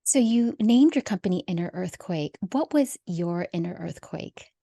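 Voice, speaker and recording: A woman's voice: on the low side, lively intonation, moderate pace, gravelly, dark tone, dry recording, studio quality. A woman hosting a podcast, asking the guest direct, brief questions. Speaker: podcast host. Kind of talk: interview questions.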